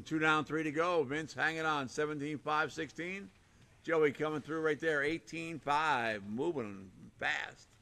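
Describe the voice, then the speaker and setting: A man calling a live RC car race with a hoarse, fading voice, rattling off names and lap times in short bursts. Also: fairly high pitch, unhurried, pushed and bright. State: hoarse, losing his voice. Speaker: race announcer.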